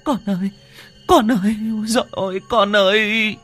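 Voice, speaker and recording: A woman's voice: mid-range voice, fairly even intonation, moderate pace, fairly bright tone, faint music behind, voice pushed up, lightly acted. Give me a terrified young mother with a trembling, pleading voice, as a man puts her on. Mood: sobbing.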